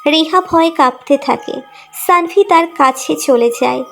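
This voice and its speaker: A woman's voice: high-pitched, unhurried, creaky, faint music behind. A woman reading a scripted emotional romantic story as its narrator.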